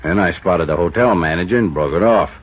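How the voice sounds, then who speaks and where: A very deep-voiced gruff bartender. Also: male voice, brisk. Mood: grudging confession. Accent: American. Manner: blunt, slangy, defensive. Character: gruff, defensive male hotel bartender, streetwise and evasive. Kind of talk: 1950s radio drama acting. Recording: muffled, faintly noisy 1950s radio recording.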